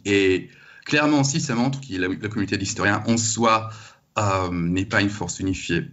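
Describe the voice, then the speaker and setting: A man's voice: fairly low pitch, normal speed, fairly bright tone. A man giving academic interview answers, hesitantly rephrasing as he goes, on slightly dull audio. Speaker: university lecturer interviewee.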